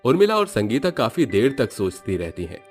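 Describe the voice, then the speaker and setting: A man narrating an animated moral story in a steady, matter-of-fact way. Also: medium pitch, fairly quick, soft background music.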